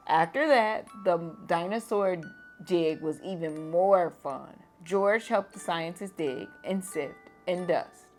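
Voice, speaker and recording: Woman teacher, slow bedtime read-aloud, low warm voice, faint music underneath. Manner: gentle, expressive storytelling.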